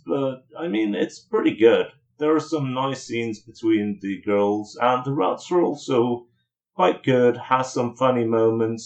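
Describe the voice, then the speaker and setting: A man's voice: mid-range voice, lo-fi sound. A man giving a casual, opinionated spoken review as a video host, in informal language with some mild swearing.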